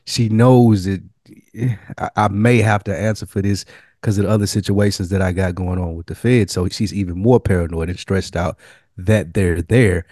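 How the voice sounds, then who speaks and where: A young man with a fairly low voice gives blunt, slangy takes in a relaxed, easygoing delivery. The sound is dry and close, with almost no room echo. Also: normal speed. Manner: laid-back, slangy, blunt opinions. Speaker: podcast co-host. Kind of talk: casual chat.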